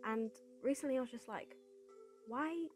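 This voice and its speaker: A woman's voice: a little high, moderate pace, a little mellow. Chatty young woman, a little congested. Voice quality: slightly raspy. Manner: chatty, rambling with fillers and restarts. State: congested from a cold. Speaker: artist vlogger. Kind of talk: casual voice-over chat. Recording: voice-over with music behind.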